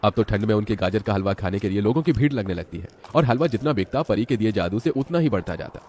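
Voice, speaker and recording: A man's voice: lowish voice, animated, quick pace, a little mellow. A man narrating a scripted children's moral story in a dramatic way.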